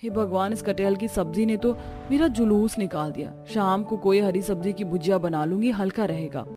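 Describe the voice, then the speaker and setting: A woman's voice: mid-range voice, moderate pace, close to an everyday voice. A woman acts out a put-upon young daughter-in-law from a family cartoon. She sounds worn down and plaintive, yet stays polite with elders. Faint music plays behind her. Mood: weary grumbling. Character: weary, put-upon young daughter-in-law, whining about kitchen drudgery. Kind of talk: Hindi family cartoon voice acting.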